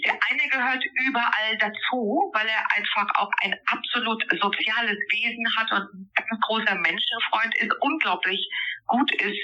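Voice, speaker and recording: A woman from eastern Germany, an author answering interview questions thoughtfully, pausing and restarting as she searches for words. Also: on the high side, bright, forceful tone, dull audio.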